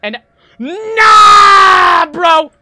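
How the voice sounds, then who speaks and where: A young man streaming a horror game, panicking, swearing and yelling in fright while reading notes aloud. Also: very high voice, animated, very slow, a loud crowd, heavy background noise. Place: small room at home. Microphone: desk mic on stand.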